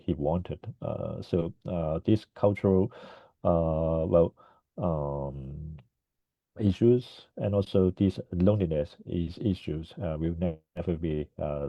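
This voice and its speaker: Low creaky-voiced guy on a dull video call, lots of uhs, answering a question slowly. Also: dry recording. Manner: hesitant, many fillers. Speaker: conference presenter. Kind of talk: academic Q&A answer.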